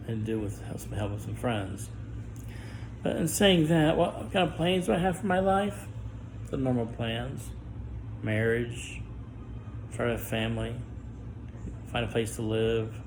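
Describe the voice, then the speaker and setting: A middle-aged man speaking a personal monologue to camera, guarded and halting, with stammered restarts and long pauses. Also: mid-range voice, creaky, background noise. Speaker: vlogger.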